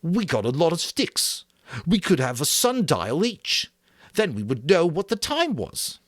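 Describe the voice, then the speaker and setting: An earnest, bespectacled plump schoolboy, as a male narrator puts him on, pitched well above a grown man's voice. He talks eagerly and plods through his matter-of-fact ideas, and his lines bounce up and down. The sound is close and dry. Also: wildly swooping pitch, measured pace, dry recording, heavily acted.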